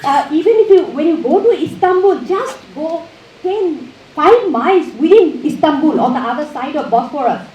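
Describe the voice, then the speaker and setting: High-pitched Bangladeshi woman lecturing. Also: lively intonation, normal speed, darkish tone. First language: Bengali. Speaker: university professor giving a lecture. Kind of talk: academic lecture, partly extemporized. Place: university auditorium. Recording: muffled, echoey hall with background noise.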